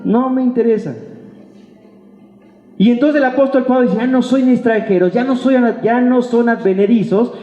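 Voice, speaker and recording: A man's voice: extremely high pitch, normal speed, soft background music. A man with a Mexican accent preaching an informal sermon as a pastor, in a colloquial, playful way full of rhetorical questions. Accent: Mexican Spanish. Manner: colloquial, playful, acts out imagined remarks and asks rhetorical questions. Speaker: pastor preaching to a church congregation. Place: reverberant church hall. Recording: echoey hall, long reverb, dull sound.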